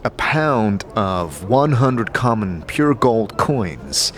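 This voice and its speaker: A man giving dramatic scripted narration for a fantasy audio drama, speaking in a measured, deliberate manner. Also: on the low side, sing-song, a bit bright. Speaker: narrator and game protagonist. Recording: prominent music bed under the voice.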